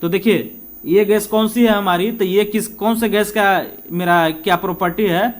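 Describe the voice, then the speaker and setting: A man's voice: high voice, steady tone, normal speed. A man works through practice questions as a coaching teacher. He is informal and conversational, putting questions to the listener and answering them himself, and he slips between Hindi and English. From India.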